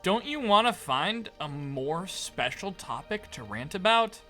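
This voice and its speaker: A woman's voice: on the low side, big pitch swings, measured pace, sharp tone, faint music behind, full character voice. A woman playing a long-suffering, dry and weary narrator in a fantasy podcast. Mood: exasperated.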